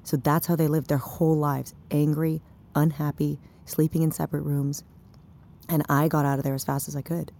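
Frank caring confidante, low-pitched, wry, teasing, naturalistic audio drama. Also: female voice, flat delivery, moderate pace, dark tone. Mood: gentle, frank. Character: blunt, wry, caring adult woman, family confidante.

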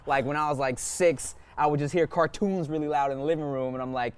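A man in casual, relaxed podcast conversation, talking about his parents and laughing easily. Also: a little high, brisk, clear, resonant tone. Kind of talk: casual chat.

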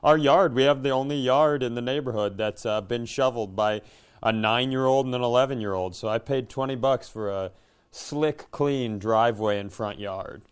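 A man's voice: medium pitch, normal speed, clean tone. An American man chatting casually and comedically as a podcast co-host, with dry, bemused asides.

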